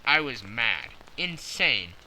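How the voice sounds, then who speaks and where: A man acts out a desperate, proud king of thirty in a classic storybook reading. The delivery is grave, formal and insistent, with a hard, forceful edge over faint background noise. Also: mid-range voice, sing-song, takes it slowly, exaggerated voice, rough recording. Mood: agitated, regretful. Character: proud, anguished thirty-year-old European king, agitated and desperate.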